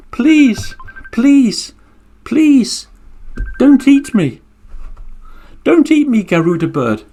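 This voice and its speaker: A man voicing a small, clever turtle that pleads in a high, insistent voice. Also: darkish tone, heavily acted. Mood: pleading.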